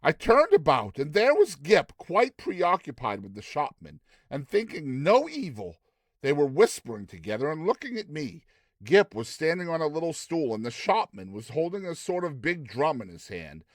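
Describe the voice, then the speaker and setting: A man giving a dramatized audiobook narration, theatrical and expressive, with deliberate phrasing. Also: fairly high pitch, no echo, studio quality. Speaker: narrator of short story.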